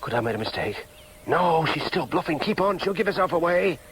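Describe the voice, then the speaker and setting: Actor as high-pitched, quick young Yorkshireman. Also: expressive, noisy background, close to an everyday voice. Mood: inner doubt. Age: young adult. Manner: insistent, questioning, sometimes in inner monologue. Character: earnest, dogged young Yorkshireman seeking his birth mother. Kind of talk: radio drama acting.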